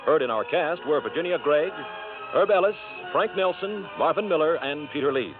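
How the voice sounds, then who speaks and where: A man's voice: fairly high pitch, expressive, normal speed. A man announcing scripted credits in the style of 1950s radio. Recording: tinny vintage radio with music behind.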